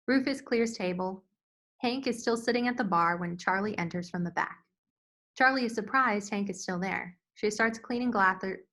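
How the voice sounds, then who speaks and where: Bright-voiced woman narrating a script. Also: medium pitch. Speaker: narrator reading stage directions. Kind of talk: scripted narration at a table read.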